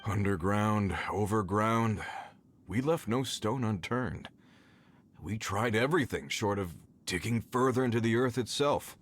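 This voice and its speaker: A man's voice: lowish voice, measured pace. A grave, worried mine foreman. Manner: grave, earnest. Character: weary middle-aged mine foreman, worried and earnest. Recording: game audio with background music. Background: game music.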